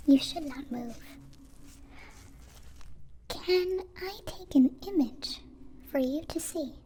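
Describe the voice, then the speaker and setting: A woman voicing a gentle, curious, naive alien in a soft whispered ASMR roleplay, with halting, formal, literal phrasing. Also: high-pitched, a little hiss or hum. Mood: curious, tentative. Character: gentle, curious, naive captive-born alien, newly befriended.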